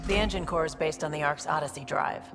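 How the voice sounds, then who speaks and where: An actress playing a brisk, confident, no-nonsense ship officer in a video game, businesslike and matter-of-fact. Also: female voice, deep voice, vocal fry, over music. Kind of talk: video game cutscene acting.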